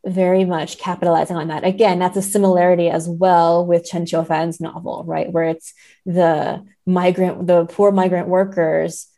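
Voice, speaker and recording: A woman's voice: lowish voice, flat delivery, moderate pace, mellow. A woman speaking as a podcast guest in a thoughtful literary discussion, analytical and careful, often checking in as she goes. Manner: hedging, analytical, often seeking agreement with "right?".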